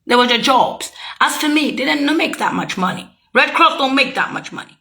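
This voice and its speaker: Heated woman with a gravelly, bright voice. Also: high-pitched, animated, moderate pace. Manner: heated, profane, accusing; loose, jumping from thought to thought. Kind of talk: rambling monologue to camera.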